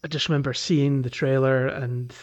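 A man co-hosting a podcast in casual chat, speaking in a hesitant, halting way. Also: medium pitch, steady tone, slight background noise. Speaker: podcast co-host. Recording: dull lo-fi microphone audio.

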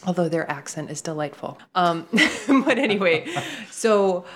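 A therapist whose first language is Polish, talking casually on a podcast, telling stories with lots of laughter and acted-out quotes. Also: female voice, on the low side, measured pace. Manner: animated storyteller who acts out quoted speech and laughs often.

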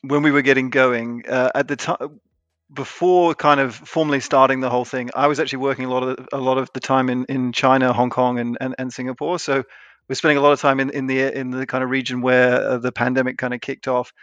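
A man in a dry-sounding room, answering interview questions as a company co-founder. He circles back on himself, repeating words and restarting his sentences as he thinks aloud, at a middling pitch. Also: flat delivery, fairly quick, fairly bright tone, no echo, dull audio, soft background music. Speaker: CEO and co-founder, guest.

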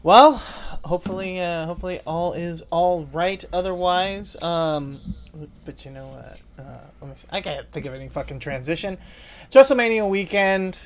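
A man's voice: high-pitched, moderate pace. A man hosting a podcast in a loose, casual chatting style. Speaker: podcast host. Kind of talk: casual chat.

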